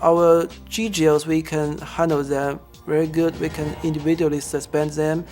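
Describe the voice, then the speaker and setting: A man from Zhejiang with a Chinese accent, a student researcher telling about himself and his work in halting, non-native phrasing. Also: on the high side, little pitch movement, darkish tone, soft background music. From Zhejiang, China. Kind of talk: interview-style personal and project account.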